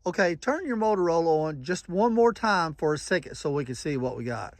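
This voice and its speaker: Higher-voiced man, casual and folksy. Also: animated, a little fast, a little hiss or hum. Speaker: vlogger testing walkie-talkies. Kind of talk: casual running commentary and radio check calls.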